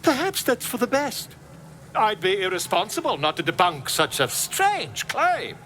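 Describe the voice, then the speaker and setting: A man's voice: high voice, big pitch swings, a little slow, bright, forceful tone, a little hiss or hum, full character voice. A man voicing a chatty, self-important amateur scholar in a video game, theatrical and easily flustered. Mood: dismissive. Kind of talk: video game character dialogue.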